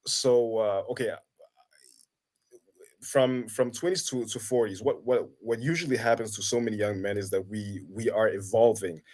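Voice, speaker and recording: A man's voice: on the low side, a little mellow. A man in his thirties from Kenya, a guest in a casual conversation, talking thoughtfully with many halts and restarts. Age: 30s. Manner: halting, many restarts and fillers. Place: Denmark.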